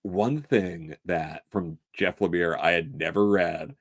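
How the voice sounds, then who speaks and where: A man's voice: low-pitched, slow, slightly rough, dry recording. A man appearing as a guest in a casual chat, talking in an enthusiastic, rambling way with casual swearing. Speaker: guest, comics writer.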